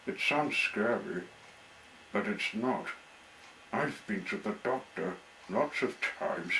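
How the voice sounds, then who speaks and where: A sweet-natured young boy in a children's storybook, played by a grown man. He sounds eager and caring in a simple, childlike way, with slow phrasing. The recording has audible background noise. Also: on the low side, lively intonation, bright, forceful tone, full character voice, low-quality recording. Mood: comforting.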